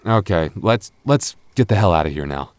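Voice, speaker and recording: An actor playing a gentle, nervous goth boyfriend in an ASMR roleplay, soft-spoken and stammering when flustered. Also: male voice, low voice, expressive, normal speed, smooth, no room sound.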